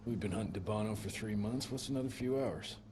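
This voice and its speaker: An actor playing a cocky young American vampire hunter in a video game cutscene, with a dry, tough manner. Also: male voice, fairly low pitch, fast talker, lightly acted. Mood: cocky, mocking. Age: young adult. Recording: noisy effects and ambience behind.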